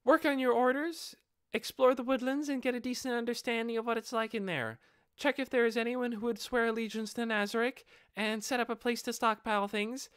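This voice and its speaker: A man voicing a young dark elf girl in an audiobook, an eager, loyal beast tamer who speaks perkily and with deference to her master. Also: extremely high pitch, fairly even intonation, a little fast, clear, resonant tone, dry recording, studio quality, pitched above the performer's own voice. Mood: dutiful, deferential. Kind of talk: audiobook character voice.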